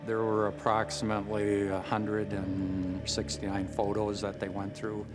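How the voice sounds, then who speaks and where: A man's voice: lowish voice, completely flat delivery, unhurried, over loud music. A man with an American accent, a police detective recalling a case in an interview. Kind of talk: interview recollection.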